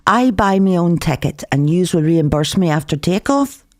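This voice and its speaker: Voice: medium pitch, animated, moderate pace, no room sound, studio quality, pitched above the performer's own voice, close to an everyday voice. A narrator voicing a defiant, sardonic young Northern Irish woman in an audiobook, brash in manner.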